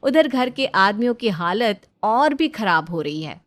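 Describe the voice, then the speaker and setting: A woman's voice: medium pitch, very animated, measured pace. Female storyteller, mid-range voice, scripted narration.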